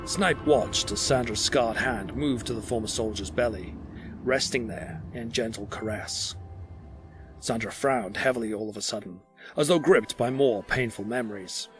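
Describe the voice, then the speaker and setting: A man's voice: fairly low pitch, normal speed, soft background music. A man giving dramatic scripted narration for an audio drama.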